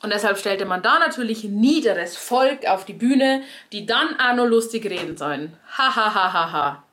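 A young adult woman from Bavaria with a Bavarian accent, a stage performer giving ironic, lively radio commentary. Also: mid-range voice, normal speed, a little hiss or hum.

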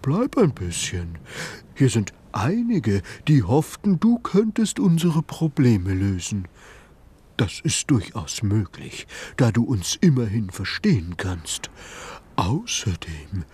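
A man voicing a big, gentle, forgetful hippo in a storybook reading, speaking softly and calmly. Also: lowish voice, slightly rough, exaggerated voice. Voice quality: soft as suede. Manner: slow, calm, hushed.

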